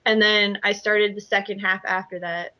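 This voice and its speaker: Bright-voiced woman chatting on a panel. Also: medium pitch, flat delivery, normal speed, no room sound, poor audio. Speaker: voice actor on a commentary panel. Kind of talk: casual chat.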